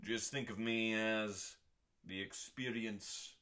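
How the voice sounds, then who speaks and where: A man giving a dramatic, mock-serious scripted reading as a host, pompous, with long pauses and drawn-out emphasis on words. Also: lowish voice, fairly even intonation, bright, forceful tone. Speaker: host reading aloud from a cookbook.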